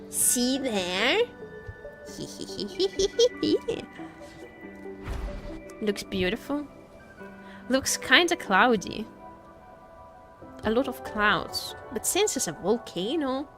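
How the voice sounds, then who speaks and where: A woman's voice: high-pitched, big pitch swings, drawn-out words, long pauses, music in the background. A woman chatting casually as she livestreams a game, playfully repeating her phrases to viewers.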